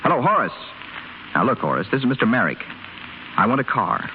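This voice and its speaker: A man's voice: mid-range voice, big pitch swings, brisk, close to an everyday voice. A man playing a flippant rich young patient. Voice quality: sardonic, wisecracking. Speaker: hospital patient. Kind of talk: 1930s radio drama acting. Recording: tinny, faintly hissy 1930s radio broadcast.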